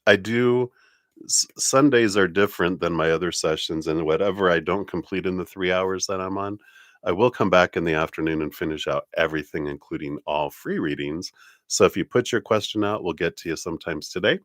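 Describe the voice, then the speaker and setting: Congested, nasal low-voiced man. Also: fairly quick, no room sound, clean studio sound. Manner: gentle, friendly, meandering with many fillers. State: congested, nasal from a stuffy nose. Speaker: tarot reader hosting a live stream. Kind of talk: casual live-stream talk to viewers.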